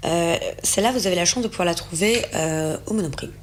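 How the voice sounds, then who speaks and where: A woman's voice: lowish voice, fast talker, noisy background. A young woman co-hosting a food segment, chatting casually and enthusiastically while tasting, with many hesitations. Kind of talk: casual tasting chat on a show set.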